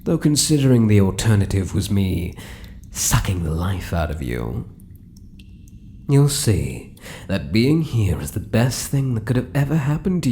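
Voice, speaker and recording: Casting: male actor as British drider, possessive and doting, low dark voice, swooping intonation, intimate ASMR menace. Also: slight background noise. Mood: gently menacing. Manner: crooning, soft menace, breaking into whispers. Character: possessive, sinister yet doting male spider-human hybrid monster. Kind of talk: ASMR roleplay, intimate monologue to listener.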